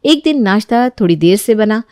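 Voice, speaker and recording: A woman narrating a moral cartoon story in an even storytelling manner. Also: medium pitch, moderate pace, clean tone, no echo, clean studio sound.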